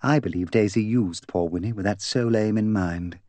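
A man's voice: on the low side, normal speed, a little mellow, no echo, natural voice. A man voicing an imperious, gossipy, opinionated English woman in an audiobook, bossy, emphatic and voluble. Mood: gossipy, confiding. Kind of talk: audiobook character dialogue.

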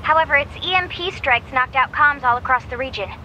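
Bright, high-voiced android operator. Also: female voice, a little fast, slight background noise, low-quality recording. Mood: earnest briefing. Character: earnest, caring young female android radio operator. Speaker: military operator. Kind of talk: video game voice acting.

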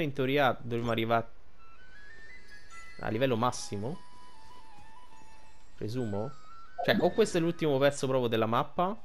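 A man giving casual, colloquial game commentary, rambling and thinking aloud. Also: mid-range voice. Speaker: gameplay video creator. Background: game music.

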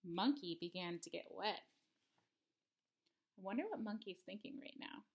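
A woman's voice: mid-range voice, animated, normal speed, muffled recording. A woman in her thirties reading a picture book aloud expressively for children, at home. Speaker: storybook reader. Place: living room at home.

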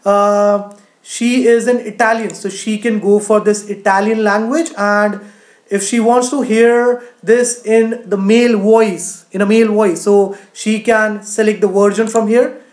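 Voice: medium pitch, fairly even intonation, a little slow, darkish tone, reverberant. A teacher narrating a screen-share tutorial in an explanatory way, often saying "you know". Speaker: coding teacher.

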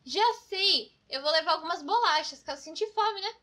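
Young female cartoon friend, Brazilian accent, bright piercing tone, very animated, scatterbrained, exclamatory, kids' comedy. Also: very high voice, moderate pace, heavily acted. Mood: fretting. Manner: dramatic, expressive, exclamatory. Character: excitable, scatterbrained, over-prepared young female best friend. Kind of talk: children's cartoon comedy voice acting.

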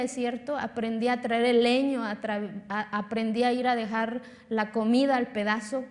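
A woman in her thirties from Oaxaca, Mexico, with a Mexican accent and Zapotec as her first language, giving an earnest, emphatic speech on a stage before an audience. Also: on the high side. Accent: Mexican Spanish. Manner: earnest, emphatic, repeats phrases for force. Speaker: speaker at a talk. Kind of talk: public speech. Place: large hall on a lit stage before an audience. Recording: reverberant hall.

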